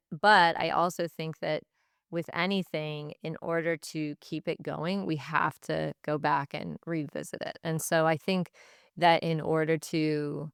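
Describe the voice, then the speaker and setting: A woman's voice: low voice, fairly bright tone, smooth, no room sound, studio quality. A woman speaking as a teacher being interviewed, giving reflective answers in thoughtful, stop-and-start phrasing that repeats itself. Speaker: teacher and language department head, podcast guest.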